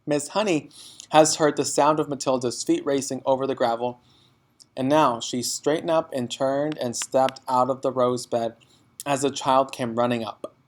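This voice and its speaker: A man's voice: mid-range voice, steady tone, normal speed. A man narrating a children's story as a read-aloud. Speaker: storybook reader.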